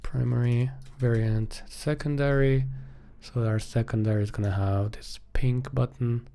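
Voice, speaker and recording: Mellow-voiced man thinking aloud while coding. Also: mid-range voice, fairly even intonation, a little slow. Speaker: live-coding streamer.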